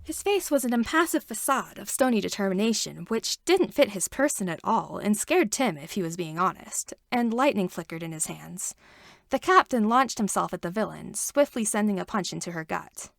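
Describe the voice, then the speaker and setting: A woman reading a fan fiction chapter aloud with expressive storytelling and shifting emphasis. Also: medium pitch, normal speed, gravelly, no echo, clean studio sound. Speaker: podfic reader.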